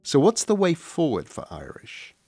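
A man hosting a radio documentary, narrating in a measured, reflective way and posing questions. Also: fairly high pitch, huge pitch swings, mellow, breathy edge, no room sound, faint music behind. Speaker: radio host.

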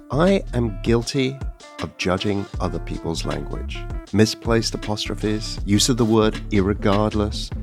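A man's voice: on the low side, vocal fry. Wry man reading a podcast promo. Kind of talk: scripted promo read.

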